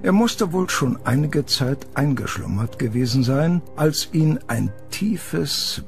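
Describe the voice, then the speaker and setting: A man narrating a scripted horror audio drama in a measured, suspenseful storytelling manner. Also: mid-range voice, creaky. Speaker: narrator of a horror radio play.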